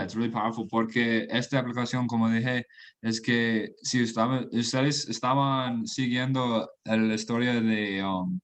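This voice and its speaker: Slow, halting man on a call. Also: lowish voice. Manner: halting, restarts and searches for Spanish words; laughs between phrases. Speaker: guest. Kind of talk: casual chat mixing Spanish and English.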